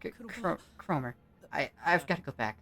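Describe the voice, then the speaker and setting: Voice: medium pitch, sing-song, normal speed, sharp tone, pitched above the performer's own voice. A timid, anxious schoolboy as a narrator plays him in a read-aloud story. His words come out hesitant and stammered. There is music behind him. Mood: frightened.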